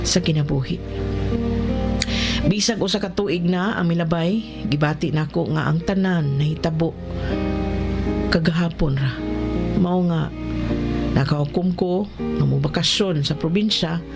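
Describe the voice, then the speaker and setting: A woman narrating a scripted radio drama, reading a letter in a measured, dramatic storytelling manner. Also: lowish voice, vocal fry. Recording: music bed under the voice. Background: background music.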